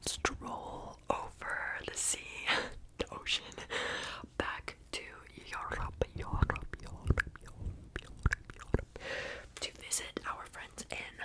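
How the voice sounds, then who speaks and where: Softly whispering woman, repeating words. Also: medium pitch. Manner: whispered, soft and rambling, repeating words over and over; playful, chatty asides to viewers. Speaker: ASMR creator. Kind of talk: ASMR whispering.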